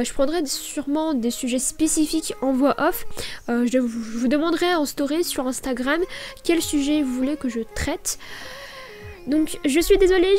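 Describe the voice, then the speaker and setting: A woman's voice: sits high, a little mellow. A woman narrating a casual voice-over for her small business vlog, stuffy with a head cold, hesitant and stammering with many fillers.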